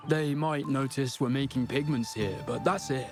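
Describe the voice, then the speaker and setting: A man's voice: mid-range voice, normal speed, over music, lightly acted. An actor playing a secretive, cautious henchman in a video game, with a grumbling manner. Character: wary, secretive male henchman in a criminal scheme. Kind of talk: English video game voice acting.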